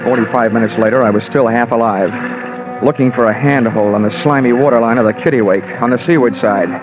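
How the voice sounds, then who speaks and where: A male actor as a sardonic American private investigator narrating a vintage radio drama. He is dry, hard-boiled and colloquial, with a medium-pitched voice on a muffled recording with music behind. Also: brisk, natural voice. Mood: wry, brisk. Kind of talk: 1940s radio detective narration.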